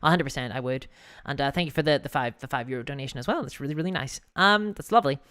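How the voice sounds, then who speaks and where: A livestream host with a hoarse voice, chatting casually and self-deprecatingly about tabletop games and answering viewers. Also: mid-range voice, very fast, sharp tone. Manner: giggly, laughs often while talking.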